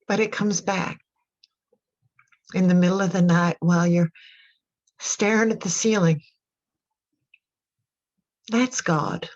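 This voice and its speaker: An actress playing a troubled, reflective country mother in a radio drama monologue, confiding thoughtfully with pauses. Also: on the low side, fairly even intonation, darkish tone, highs cut off. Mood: tender, wistful.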